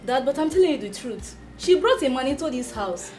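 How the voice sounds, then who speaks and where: A woman's voice: sits high, big pitch swings, brisk, a little mellow, faint music behind. A young adult actress playing a daughter who insistently accuses her stepmother to her father.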